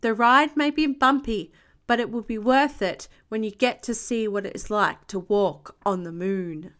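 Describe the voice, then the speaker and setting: A woman's voice: unhurried, fairly bright tone. Woman as hearty old grandpa rabbit astronaut. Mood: hearty, rousing. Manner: booming, rousing.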